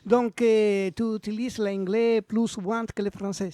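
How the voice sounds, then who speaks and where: Quick speaker with a tongue. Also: mid-range voice, level tone, warm tone, dry recording. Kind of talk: radio interview questions.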